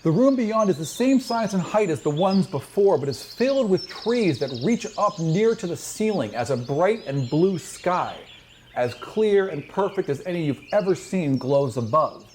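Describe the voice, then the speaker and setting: A man's voice: high voice, lively intonation, normal speed, a little mellow, slight background noise. A man acting as game master, narrating a fantasy tabletop game with descriptive storytelling and casual table talk.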